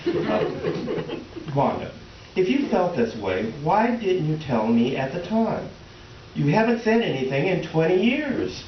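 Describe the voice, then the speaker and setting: A male actor plays an earnest, bewildered middle-aged husband in a comic stage reading. His pitch is extremely animated as he hesitates and placates. The sound is muffled and picked up from a distance. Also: unhurried. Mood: puzzled, apologetic. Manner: hesitant, earnest, awkwardly puzzled. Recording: echoey, distant, muffled noisy live recording.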